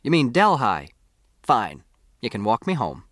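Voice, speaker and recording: A man's voice: mid-range voice, huge pitch swings, unhurried, a bit bright, dry recording, clean studio sound. A man voicing a chatty, bossy old country woman in an audiobook, with rambling, folksy, insistent talk. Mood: brisk, bossy. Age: older.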